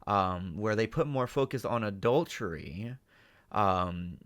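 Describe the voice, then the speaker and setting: A man's voice: on the low side, animated, takes it slowly, a bit bright. A man hosting a reaction video, giving off-the-cuff analytical commentary with emphatic check-ins.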